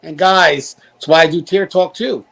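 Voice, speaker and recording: A man with a high-pitched voice hosts a talk show. He speaks straight to his viewers in a conversational, emphatic style, urging them along. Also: normal speed, gravelly, fairly bright tone. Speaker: show host, corrections professional.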